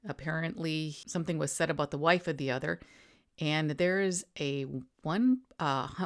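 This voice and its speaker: A woman with a low-pitched voice reads out old newspaper items as a narrator. Her delivery is matter-of-fact, moving between formal headline reading and plainer, informal retelling. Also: gravelly. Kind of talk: news reading with informal summarizing asides.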